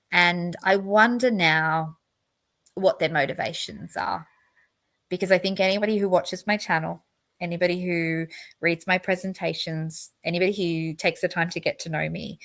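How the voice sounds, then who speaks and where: A woman's voice: lowish voice, a bit bright. A woman hosting a true crime livestream, talking earnestly and at length with many fillers and restarts. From outside the US. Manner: earnest, rambling, emphatic. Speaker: true crime channel host. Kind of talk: solo livestream commentary.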